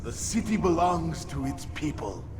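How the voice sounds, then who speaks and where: A man's voice: a little high, very animated, music in the background. Haughty nobleman, dramatic swooping delivery. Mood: scornful. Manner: haughty, theatrical, measured. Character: proud dying middle-aged nobleman, contemptuous and self-righteous. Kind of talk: video game cutscene acting.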